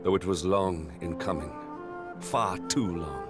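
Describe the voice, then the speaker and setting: An actor playing a noble, loyal, sorrowful knight in a video game, speaking formally and earnestly. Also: male voice, deep voice, measured pace. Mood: solemn, resolute. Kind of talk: dramatic video game voice acting. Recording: loud music over the voice.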